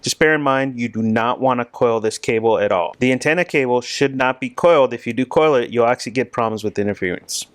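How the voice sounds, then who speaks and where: A man's voice: medium pitch, fairly quick, hardly any pauses, clean tone, no room sound. A man giving a direct, explanatory tutorial voice-over addressed to viewers. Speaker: host explaining an installation.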